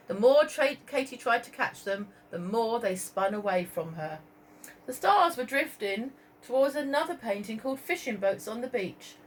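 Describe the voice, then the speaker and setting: A woman reading a story aloud to young children as a teacher, expressively, pausing to point out pictures and ask questions. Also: mid-range voice, slight background noise, low-quality recording. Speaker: primary school teacher.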